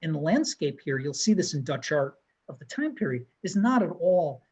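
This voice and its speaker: Husky man giving a museum art talk. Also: a little high, big pitch swings, darkish tone. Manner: measured, descriptive, pointing out details. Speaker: museum co-host presenting artworks. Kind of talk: art history talk over slides. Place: room with bookshelves. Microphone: video call.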